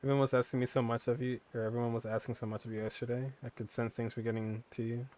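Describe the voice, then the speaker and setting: A man's voice: medium pitch, little pitch movement, rapid-fire, a little mellow. Casual joking gaming commentator man. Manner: casual, joking reactions with laughs. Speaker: gaming streamer. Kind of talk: casual commentary while playing.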